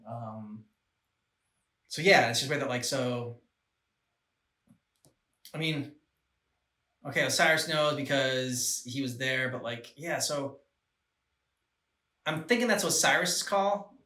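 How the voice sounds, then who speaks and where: A man co-hosting a reaction show, chatting casually about game scenes and haltingly thinking aloud. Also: mid-range voice, bright, forceful tone, far from the mic. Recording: echoey room, off the mic.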